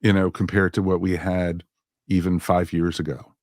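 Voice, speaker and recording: A man's voice: sits low, level tone, normal speed, darkish tone, no echo, clean studio sound. A man hosting a podcast in a casual, enthusiastic and agreeable way. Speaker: podcast host.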